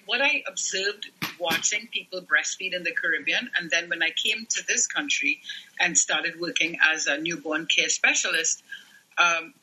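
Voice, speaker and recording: Husky man, bright tone. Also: fairly high pitch, low-quality recording. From Trinidad and Tobago. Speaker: newborn care and lactation specialist. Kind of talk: podcast interview guest.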